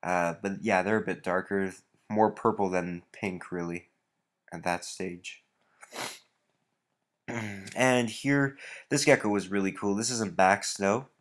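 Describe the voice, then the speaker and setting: Stuffy-nosed man, low voice. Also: slow pace, long pauses. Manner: relaxed, rambling, many fillers. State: stuffy nose. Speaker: reptile breeder. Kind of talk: casual walkthrough vlog.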